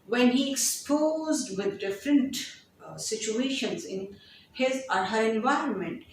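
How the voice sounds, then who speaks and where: Woman, non-native English speaker, teaching tone, deliberate repetitions, distant mic, faint room hiss. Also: on the high side. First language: not English. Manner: slow, deliberate, repeats phrases while explaining. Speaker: English teacher, channel host. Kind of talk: explanatory lesson to camera. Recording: echoey room, somewhat far from mic.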